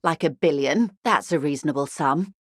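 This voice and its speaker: Poised, low-voiced wealthy negotiator woman. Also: no echo, studio quality. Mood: wry, composed. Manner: urbane, measured, dryly ironic. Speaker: video game voice actor. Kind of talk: scripted game dialogue, conversational.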